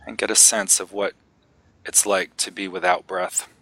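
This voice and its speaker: Male meditation guide: lowish, crisp-edged bright voice, gentle and unhurried, leaving long pauses. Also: level tone, no echo, low-quality recording.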